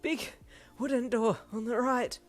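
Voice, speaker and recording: A woman's voice: on the high side, steady tone, unhurried, soft background music, pitched above the performer's own voice, lightly acted. Woman as a wheezing, winded manservant. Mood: winded, urgent. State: out of breath. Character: wheezing, winded, dutiful male household servant.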